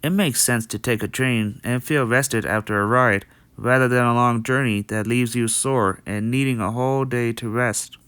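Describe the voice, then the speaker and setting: A man's voice: mid-range voice, fairly even intonation, moderate pace, few pauses, fairly bright tone, smooth, no echo, natural voice. A man playing a friendly, dutiful young male pony in an amateur comic dub, explaining things in an earnest, read-out way. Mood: earnest, pondering.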